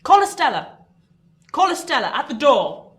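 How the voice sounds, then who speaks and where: A woman's voice: high voice, very animated, normal speed, a bit bright. A woman acting a bitter, commanding older recluse in a radio play, with abrupt, theatrical orders. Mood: fierce, commanding. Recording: echoey room with noise.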